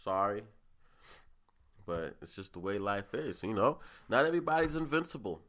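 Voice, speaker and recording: Deep-voiced congested young man. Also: very animated, moderate pace, fairly bright tone. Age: 20s. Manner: loose, rambling and chatty, with frequent asides. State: congested, sniffling. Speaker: podcast host. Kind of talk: casual solo podcast chat.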